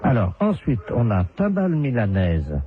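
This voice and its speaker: A man playing an officious, deadpan ministry official on a prank phone call, unhurriedly reeling off details and lists. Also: medium pitch, normal speed, a crowd in the background. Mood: businesslike. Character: brisk fake transport ministry official turned snack-van order taker. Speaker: prank caller.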